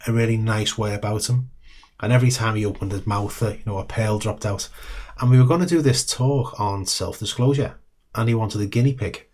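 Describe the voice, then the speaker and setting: A middle-aged British man talks with a rough, croaky, husky voice at an ordinary middle pitch. His telling is relaxed and chatty, full of small ums. He acts out the lines of the people in his story. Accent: British English. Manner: relaxed, with frequent ums and acted-out dialogue. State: hoarse, croaky voice. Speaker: host. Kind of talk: casual storytelling to camera.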